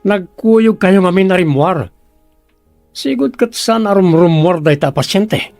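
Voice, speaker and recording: Bossy male nag, radio drama. Ilocano accent, high gravelly voice, music bed. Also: measured pace, darkish tone, dry recording. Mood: scolding, suspicious.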